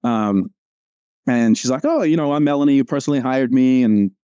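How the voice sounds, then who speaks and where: A man's voice: medium pitch, brisk, mellow, clear, resonant tone, no room sound, highs cut off. A man, a comic strip cartoonist, chatting casually and rambling with lots of fillers and restarts. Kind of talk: casual chat over a video call.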